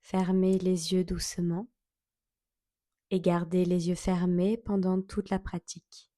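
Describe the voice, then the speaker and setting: Slow, mellow-voiced woman guiding relaxation. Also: lowish voice, flat delivery, no echo, clean studio sound. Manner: measured, soothing instruction with long pauses. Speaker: yoga nidra instructor. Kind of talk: guided relaxation meditation, spoken instructions.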